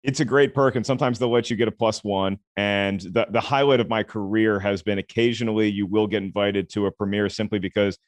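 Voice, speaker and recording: Deep-voiced man, dry close sound. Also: fairly quick, hardly any pauses, no room sound. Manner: relaxed, chatty storytelling. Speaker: film critic guest. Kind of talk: podcast conversation.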